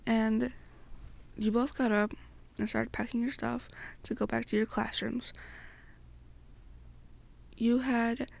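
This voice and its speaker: A young woman narrates second-person fan fiction in an ASMR style. Her voice sits fairly high and has plenty of creaky fry. It is breathy and sometimes falls away almost to a whisper. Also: a little mellow. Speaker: ASMR roleplay storyteller.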